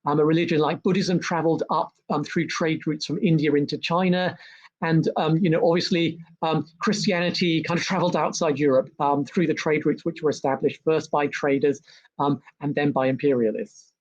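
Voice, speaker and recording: Male historian guest, slightly high voice, hesitant and full of fillers, muffled audio. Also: steady tone, brisk. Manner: hesitant, many ums and restarts. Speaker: historian and author, interview guest. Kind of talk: conversational interview about history.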